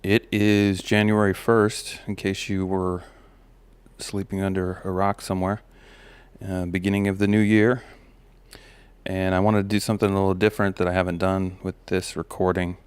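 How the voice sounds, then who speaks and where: Deep, flat-voiced man musing aloud. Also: moderate pace, no room sound. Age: adult parent of a daughter. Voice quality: slight gravel in the voice. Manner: relaxed, musing, many fillers and restarts. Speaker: podcast host. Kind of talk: reflective personal monologue.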